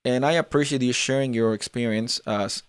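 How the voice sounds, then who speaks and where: A man's voice: mid-range voice, brisk, clear, resonant tone, no room sound, studio quality. A man in his twenties from Peru, with a mixed Latin American Spanish accent, hosting a live conversation in a chatty way and often repeating words. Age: 20s. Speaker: host.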